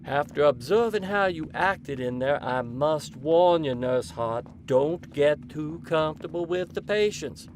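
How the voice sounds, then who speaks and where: A man playing a stern, controlling asylum doctor in an audio drama, formal, authoritative and quietly ominous. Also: on the high side, a little slow, hardly any pauses, slight background noise, close to an everyday voice. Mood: ominous, warning.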